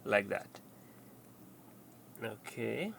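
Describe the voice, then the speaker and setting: A man giving an unscripted, step-by-step hands-on tutorial, speaking haltingly and often repeating or rephrasing his instructions. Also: on the low side, very animated, creaky, fairly bright tone, slight background noise. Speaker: repair tutorial presenter.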